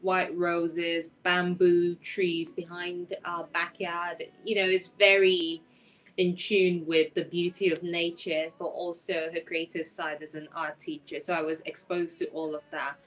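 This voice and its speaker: Seeking a woman with a Nigerian accent and a slightly low voice to play an interviewed singer-songwriter sharing her story. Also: level tone, a little slow, a bit bright, poor audio.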